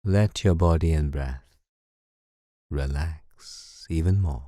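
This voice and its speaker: A man leading a guided meditation in a calm, soothing, measured way. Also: extremely low pitch, no echo, studio quality. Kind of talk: slow guided meditation narration.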